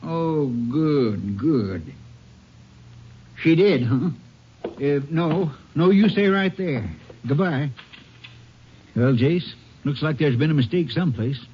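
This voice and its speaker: A man's voice: a little high, expressive, takes it slowly, pauses often. An American man playing a folksy, plainspoken small-town Texas sheriff in a vintage radio drama. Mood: sober. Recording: noisy, muffled vintage radio recording.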